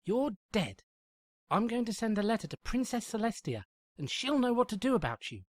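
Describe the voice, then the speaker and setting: A woman voicing an indignant, easily exasperated female pony princess in a shrill, exclamatory cartoon manner. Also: big pitch swings, normal speed, no echo, studio quality. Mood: angry. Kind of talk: cartoon fan-fiction voice acting.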